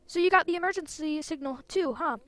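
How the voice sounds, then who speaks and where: A woman's voice: high voice, a little fast, fairly bright tone, dry recording. A woman voicing an earnest, brave young heroine in a fantasy game, with an urgent manner. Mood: relieved. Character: earnest, brave young female soul rider.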